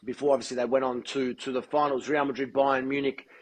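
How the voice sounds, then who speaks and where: A man being interviewed as a guest, speaking in a measured, articulate and deliberate way. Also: mid-range voice, flat delivery. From Australia. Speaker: guest, football TV presenter. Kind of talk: podcast interview.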